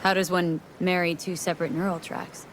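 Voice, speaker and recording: An actress plays a bold young woman of action with a fairly low voice. Her delivery is wry, blunt and casual, like natural game dialogue. A clear watery rumble sits behind the speech. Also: flat delivery, fairly quick, lightly acted, poor audio. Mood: curious, wry. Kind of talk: naturalistic video game acting.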